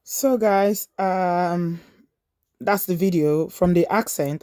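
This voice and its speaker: A woman giving a calm, explanatory commentary on a video she has just shown. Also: low-pitched, no room sound, clean studio sound. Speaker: YouTube commentator. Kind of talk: reaction commentary.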